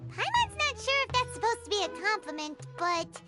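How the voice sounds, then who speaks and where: A woman voicing a tiny, excitable female fairy companion in a game cutscene, blurting out her thoughts. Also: very high voice, brisk. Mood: curious. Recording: game soundtrack music behind.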